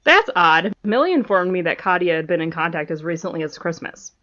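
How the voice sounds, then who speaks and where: A woman's voice: lowish voice, big pitch swings, a little fast, pushed and bright, dull audio. A woman playing an officious academic in an audio drama, polite and probing with forced corporate cheer. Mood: probing, surprised.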